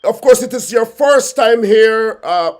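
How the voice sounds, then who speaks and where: Male host w a high voice, formal, keeps restarting his sentences. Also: lively intonation, unhurried. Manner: formal, repeats phrases and restarts with fillers. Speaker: talk show host. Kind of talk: formal studio interview.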